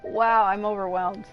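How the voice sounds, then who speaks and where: A woman's voice: mid-range voice, dull audio. A woman livestreaming a game, chatting in a rambling, informal way with some casual swearing. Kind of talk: casual livestream chat while playing. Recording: game music behind the voice. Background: game music.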